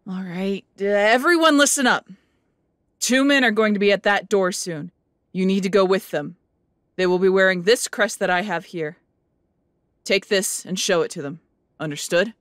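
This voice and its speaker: A woman's voice: medium pitch, moderate pace, fairly bright tone, no echo, studio quality, close to an everyday voice. A woman playing an anxious, foul-mouthed, fiercely loyal woman in an audio drama. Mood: urgent, worried. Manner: urgent, sweary. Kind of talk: amateur audio roleplay drama.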